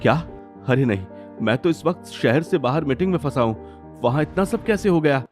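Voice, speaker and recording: Protective cartoon husband, played by a man: alarmed, urgent, pitched up, faint music behind. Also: expressive, moderate pace, natural voice. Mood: shocked.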